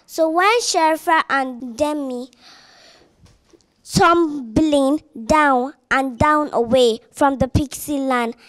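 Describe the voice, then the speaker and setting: A female reader reading a children's fairy story aloud in a careful, halting way. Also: high voice, a bit bright, dry recording.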